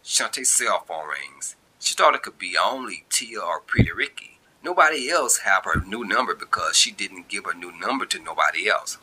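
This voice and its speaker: A man's voice: sits low, animated, moderate pace, hardly any pauses, bright, forceful tone, a little hiss or hum, low-quality recording. A man narrating his own short story as an amateur reader.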